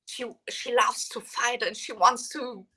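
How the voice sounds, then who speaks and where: Bright, high-voiced woman. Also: steady tone, unhurried, slightly rough. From Poland, grew up in Germany. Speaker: interview guest, professional fighter. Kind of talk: casual chat.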